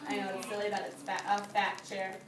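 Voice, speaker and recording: A woman reading a picture book aloud to young children as their teacher, pausing to explain things in simple phrases. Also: medium pitch, completely flat delivery. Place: indoor classroom. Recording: roomy, distant microphone with background noise.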